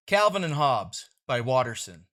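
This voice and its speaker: A man's voice: on the high side, pushed and bright. A man presenting a children's comic read-aloud, adding casual asides as he goes.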